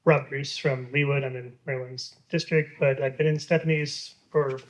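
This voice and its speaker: A man's voice: mid-range voice, steady tone, moderate pace. A man in his fifties asking his representative a question at a town hall, talking in a casual, rambling way with lots of hesitation. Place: public library meeting room.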